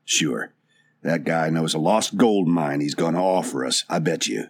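A man voicing a cynical gold hunter who mutters in a low voice. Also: unhurried. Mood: sour. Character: suspicious gold-hunting man, sour and cynical.

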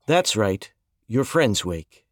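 A man voicing a calm, gentle middle-aged reverend who speaks softly and consolingly. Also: lowish voice, no room sound, studio quality. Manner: soft-spoken, measured, consoling.

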